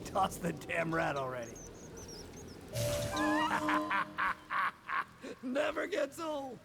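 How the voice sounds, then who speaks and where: A rough, jeering guard in a game. His voice is gravelly and fairly high, drawn out very slowly, under loud music. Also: big pitch swings, heavily acted. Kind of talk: video game voice acting.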